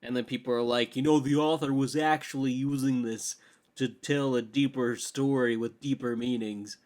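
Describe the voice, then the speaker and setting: A young man co-hosting a podcast with casual, joking banter about films in a playfully dramatic, animated way. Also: mid-range voice, normal speed. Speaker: podcast co-host.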